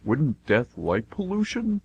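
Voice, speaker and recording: A man's voice: mid-range voice, wildly swooping pitch, slow, creaky, lo-fi sound, heavily acted. A man playing a joking, slightly petulant train passenger in an amateur radio play. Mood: joking, miffed. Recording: faint noise and hum.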